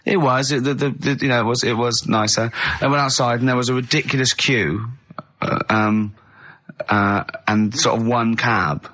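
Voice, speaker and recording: A man's voice: mid-range voice, fairly even intonation, normal speed, a bit bright. A man with a southern English accent hosting casual comic radio chat, teasing and stop-start in his delivery. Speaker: radio host. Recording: slightly muffled lo-fi radio recording.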